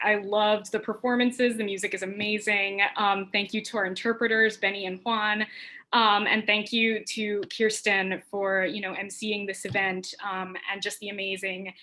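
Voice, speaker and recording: A woman attorney opens a panel as its moderator, earnest and grateful, with a nervous laugh now and then. Her voice is bright and sharp but stays flat in pitch. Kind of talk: opening remarks at an event.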